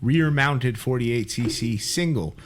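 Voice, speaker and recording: A man's voice: medium pitch, slow pace, a little hiss or hum. Male podcast co-host, mock radio-announcer read, some stumbles. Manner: mock announcer delivery when reading, stumbles on words. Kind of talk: casual chat, reading an auction listing aloud.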